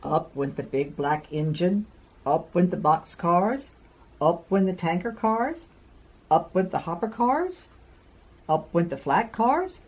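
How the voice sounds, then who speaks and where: A woman in her sixties reading a picture book to young children with deliberate, sing-song emphasis. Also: on the low side, lively intonation, darkish tone, breathy edge, lo-fi sound. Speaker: children's storyteller, host. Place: carpeted room at home.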